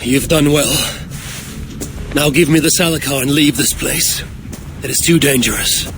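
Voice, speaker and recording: An actor playing a grave, proud and commanding warrior in a dramatic video game, speaking in a stern, declamatory manner. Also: male voice, fairly high pitch, a little slow, background noise.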